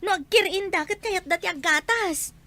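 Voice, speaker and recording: A woman's voice: extremely high pitch, moderate pace, bright, forceful tone. A woman acting a worried, pleading young wife and mother in an Ilocano audio drama, with an Ilocano accent.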